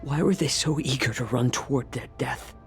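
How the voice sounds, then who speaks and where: An actor playing a fox-eared healer man in a game cutscene, speaking slowly with heavy bitterness and grief. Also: medium pitch, vocal fry, soft background music, lightly acted. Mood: bitter. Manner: slow, heavy, grieving and bitter. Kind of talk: video game cutscene acting. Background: faint game score.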